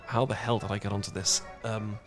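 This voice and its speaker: A man's voice: fairly low pitch, little pitch movement, a little fast, faint music behind, lightly acted. A British man playing a cute, awkward young dork in a romantic roleplay, stammering and rambling as he talks. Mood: anxious babbling. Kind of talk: romantic ASMR roleplay acting.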